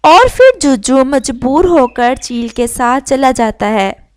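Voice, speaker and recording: A woman's voice: sits high, very animated, slow pace, smooth. A woman reading scripted narration for a children's story as the narrator.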